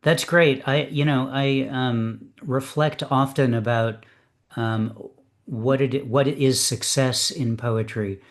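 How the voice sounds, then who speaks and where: A man hosts an online poetry reading in a relaxed, chatty way. He talks at a middle pitch over a computer mic and often stumbles, restarts and fills gaps with ums. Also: slow. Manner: hesitant, full of ums and restarts. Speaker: host of an open poetry reading. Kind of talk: casual chat.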